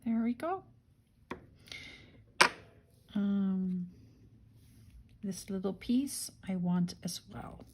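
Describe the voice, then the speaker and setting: A woman's voice: mid-range voice, slow pace, noisy background. A woman hosting a casual craft-along, unhurriedly thinking aloud and murmuring to herself as she works. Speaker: craft tutorial host.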